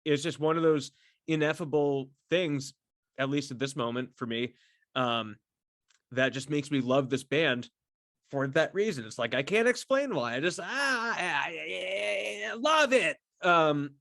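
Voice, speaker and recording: A man chatting casually and solo as a podcast host, effusive and halting, repeating words and swearing freely as he rambles. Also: fairly high pitch, gravelly, a bit bright, no room sound, studio quality. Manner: effusive, enthusiastic fan talk. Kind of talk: casual solo chat to camera about a favorite band.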